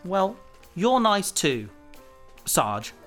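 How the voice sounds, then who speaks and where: A man's voice: high-pitched, big pitch swings, extremely slow, a bit bright, faint music behind, heavily acted. A man playing an earnest, reserved and loyal police officer in an audio drama. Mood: shy.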